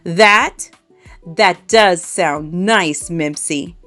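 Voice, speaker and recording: A woman's voice: fairly low pitch, very slow, a bit bright, soft background music. A woman voicing a humble, polite male country mouse in a children's storybook, courteous and earnest. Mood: impressed.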